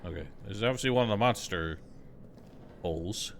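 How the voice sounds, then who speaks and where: A man's voice: on the low side, a little fast, creaky, a bit bright, a little hiss or hum. A man giving casual, wry and sceptical running commentary on a video game livestream.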